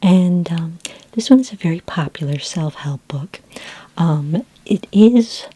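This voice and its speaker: A woman whispering softly in a breathy voice as a gentle, helpful, slightly hesitant librarian in an ASMR roleplay. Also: lowish voice, lively intonation, a little slow, no echo. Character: friendly, patient futuristic library librarian. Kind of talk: soft-spoken ASMR roleplay. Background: computer keyboard typing.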